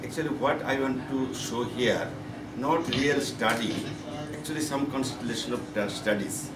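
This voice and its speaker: A man with a Bangladeshi accent giving a medical conference lecture as a professor, in halting, repetitive, emphatic phrases. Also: mid-range voice. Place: conference meeting room. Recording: echoey, noisy room, distant microphone.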